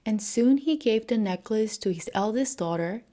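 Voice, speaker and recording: A woman's voice: on the high side, animated, moderate pace, warm tone. Woman narrating a bedtime fairy tale. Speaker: storyteller.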